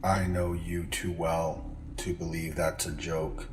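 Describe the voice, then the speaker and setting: A man voicing a grim, impassive male alien leader in an audiobook, speaking slowly and heavily in short, clipped lines. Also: deep voice, level tone, noisy background, voice pushed down. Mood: cold. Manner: slow, heavy, clipped and impassive. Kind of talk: audiobook character voice.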